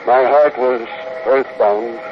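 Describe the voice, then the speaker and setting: An actor playing an aged, wealthy, stubborn tycoon with a commanding, brusque manner in a 1950s radio drama. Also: male voice, medium pitch, steady tone, takes it slowly, natural voice. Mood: wistful, resolute. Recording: muffled, noisy old radio recording.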